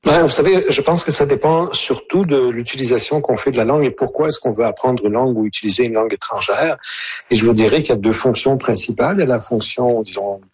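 A man's voice: mid-range voice, fast talker, darkish tone, husky, low-quality recording. A man, answering radio interview questions thoughtfully, with many hesitations and restarts. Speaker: author and interview guest.

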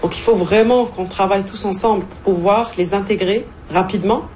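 A woman's voice: medium pitch, brisk, darkish tone, a little hiss or hum. A woman whose first language is Arabic, answering a radio interview earnestly as a newcomer, with small restarts.

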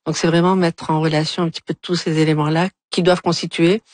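A woman's voice: fairly low pitch, little pitch movement, fast, no room sound. A middle-aged woman from Algeria, an urban planner answering interview questions, often restarting and repeating words as she searches for them.

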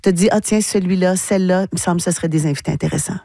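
Engaged Québécois radio host, dry studio. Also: female voice, lowish voice, rapid-fire, no echo. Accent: Québécois French. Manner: warm, engaged, affirming. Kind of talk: radio interview. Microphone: studio mic.

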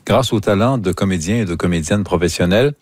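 A man's voice: low voice, brisk, darkish tone, dry recording. A man with a Quebec French accent presenting arts news as a radio host.

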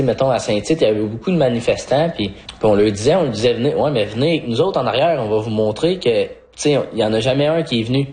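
A man's voice: medium pitch, a little mellow. A man with a Québécois French accent chatting informally in an interview, peppering his talk with filler phrases. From Mascouche, Quebec, Canada. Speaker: rodeo bull rider being interviewed. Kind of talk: casual interview chat.

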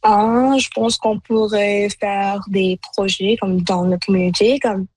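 A woman's voice: mid-range voice, steady tone, takes it slowly, a little mellow, no room sound, rough recording. A woman in her twenties whose first language is Punjabi, a university student guest from Canada, speaking thoughtfully with hesitant fillers. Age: 20s.

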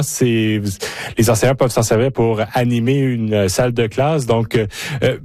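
A man hosts a radio show, reading the weather and then questioning a guest. His voice sits at a middle pitch and is recorded close and dry, with small hesitations as he talks. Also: a little mellow, no echo. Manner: conversational, with small hesitations and repeated words. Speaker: radio host. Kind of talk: radio news and interview.

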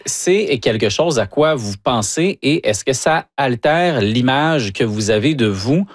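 A middle-aged man hosting a radio interview, relaxed and chuckling, with frequent hesitations. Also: medium pitch, measured pace, dry recording, clean studio sound. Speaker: radio host.

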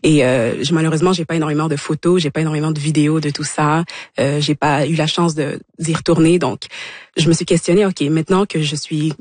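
A woman's voice: low-pitched, steady tone, darkish tone, dry recording. Reflective young Québécoise woman, dry studio. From Democratic Republic of the Congo. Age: 20s. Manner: reflective, halting, many restarts. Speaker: guest, actress and content creator. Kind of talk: radio interview. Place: radio studio.

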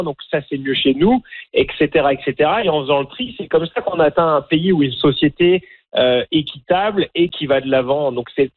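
French man on a tinny phone line. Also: a little high. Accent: French from France. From France. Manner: hesitant, many fillers and restarts. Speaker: documentary filmmaker, guest. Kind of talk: radio interview.

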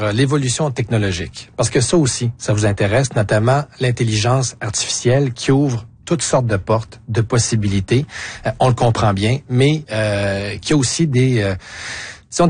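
A man's voice: medium pitch, a little mellow, husky. A man interviewing a guest in a conversational, probing way. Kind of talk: broadcast interview.

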